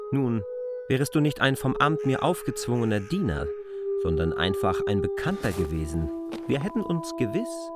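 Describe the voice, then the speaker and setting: An actor playing K, a stern and scheming land surveyor, threatening in a cold, carefully reasoned way in a radio play. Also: male voice, mid-range voice, natural voice. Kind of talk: German radio play acting.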